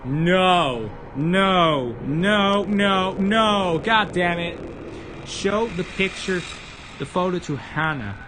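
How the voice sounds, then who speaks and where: A man's voice: high-pitched, very slow, background noise. A man on a game livestream, reading visual novel text aloud and commenting, stumbling over words as he goes. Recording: loud music under the voice. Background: loud background music.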